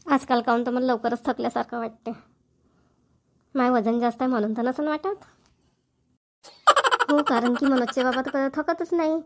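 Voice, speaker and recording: A woman's voice: fairly high pitch, lightly acted. A woman voicing a tired, middle-aged village mother-in-law in a family cartoon, with a homely Varhadi Marathi accent. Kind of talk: cartoon family comedy voice acting.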